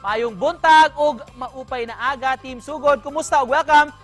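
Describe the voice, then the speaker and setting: A man's voice: very high-pitched, moderate pace, a bit bright, soft background music. A man with a Cebuano accent hosting a live morning show, upbeat as he greets guests in Cebuano and English. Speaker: news program host.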